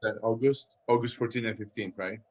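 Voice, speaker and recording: A man's voice: fairly low pitch, fairly even intonation, brisk, a little mellow. A man chatting casually as a cast member in an online meeting. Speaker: actor at a table read.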